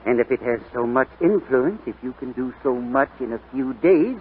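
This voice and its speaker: Actor as halting superintendent, crackly old radio. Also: male voice, medium pitch, animated, natural voice. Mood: awkward, kindly. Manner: halting, hesitant. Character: awkward, grumbling but kindly orphanage superintendent. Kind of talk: 1940s radio acting. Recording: noisy, tinny 1940s radio recording.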